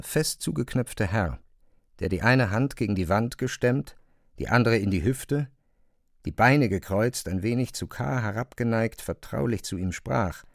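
A man's voice: medium pitch, vocal fry, dry recording, studio quality. A man reading scripted literary narration as the narrator of a drama.

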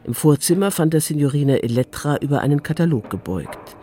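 Guy narrating a crime radio play, calm, warm dark voice, soft music behind. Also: on the high side, fairly quick. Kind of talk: scripted literary narration.

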